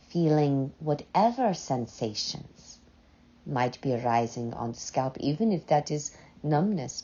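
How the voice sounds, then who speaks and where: A woman leading a guided meditation in a soft, breathy voice, gently and unhurriedly, with long pauses between short phrases. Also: a bass voice. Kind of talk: slow, soothing guided meditation. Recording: dull, muffled lo-fi sound.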